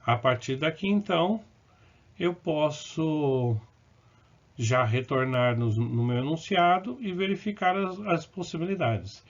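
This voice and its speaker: A man's voice: on the high side, lively intonation, slow pace. A man teaching math, explaining step by step and correcting himself as he goes, with short check-ins to the viewer. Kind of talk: recorded lesson working through problems. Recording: dull, lo-fi recording.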